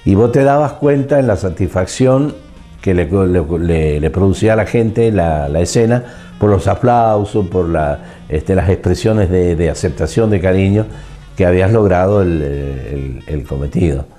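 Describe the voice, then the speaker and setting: An older man with an Argentine accent reminisces in an interview, speaking haltingly as he searches for words. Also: deep voice, dark tone, faint music behind.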